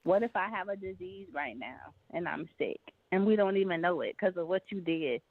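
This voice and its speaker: A woman's voice: fairly low pitch, expressive, moderate pace, no echo. Woman, questioning, skeptical, phone-like line, dry. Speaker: co-host in role play. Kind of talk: improvised role play.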